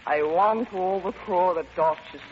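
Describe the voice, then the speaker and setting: An actress playing an earnest, deadpan Soviet woman envoy who softens into romance, in an old-time radio drama. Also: on the low side, moderate pace, natural voice. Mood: tipsy, romantic. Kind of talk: 1940s-style radio play acting. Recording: muffled, noisy old radio broadcast.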